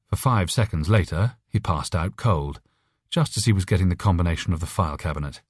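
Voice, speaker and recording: Low-voiced man narrating an audiobook. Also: darkish tone, no echo, clean studio sound. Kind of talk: scripted story narration.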